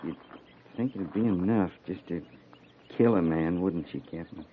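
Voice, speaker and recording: Folksy old-west army sergeant, few words, plain talk, fifties radio drama, muffled and a bit hissy. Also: male voice, low voice, unhurried. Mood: somber. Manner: folksy, laconic. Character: seasoned, plain-spoken male cavalry sergeant. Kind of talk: 1950s radio western acting. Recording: muffled, noisy 1950s radio recording.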